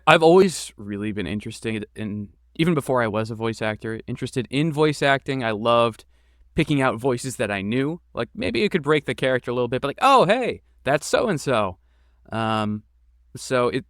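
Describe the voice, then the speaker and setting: A man's voice: medium pitch, a bit bright, dry recording, studio quality. A man talking casually as an interview guest, explaining his creative work and thinking aloud as he goes. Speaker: podcast guest, game creator and voice actor.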